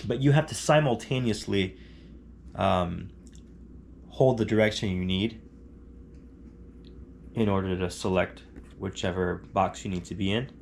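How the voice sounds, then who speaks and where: Man with a head cold, nasal, low and gravelly; patient step-by-step tutorial delivery, checks in with "okay?". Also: measured pace, pauses often, a little hiss or hum. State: congested with a cold. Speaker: hobbyist demonstrating a radio setup. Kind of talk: casual how-to tutorial. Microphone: camera on a handheld tripod.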